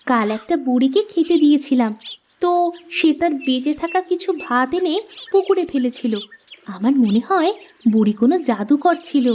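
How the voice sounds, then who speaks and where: A woman's voice: high voice, lively intonation, moderate pace, a little mellow, slight background noise. A woman voicing a kind, earnest young village housewife in a cartoon story. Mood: amazed. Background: music.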